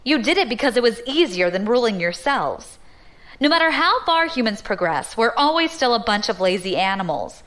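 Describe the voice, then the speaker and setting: A woman's voice: on the high side, sing-song, normal speed, fairly bright tone. A woman playing a bold, ambitious, defiant young opportunist in an audio drama, assertive and challenging. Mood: scornful, impassioned.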